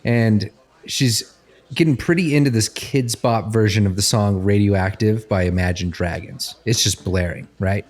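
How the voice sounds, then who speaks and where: A man with a fairly low voice acts as game master, narrating a scene in the second person. He describes the action as a story for the players. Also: unhurried.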